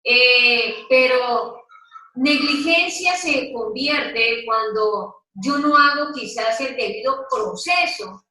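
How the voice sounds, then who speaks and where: Woman, unhurried Bible teaching, crisp bright tone, echoey room, a bit away from the mic. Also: fairly high pitch, far from the mic. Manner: slow, questioning, coaxing listeners to take part. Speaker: Bible study leader.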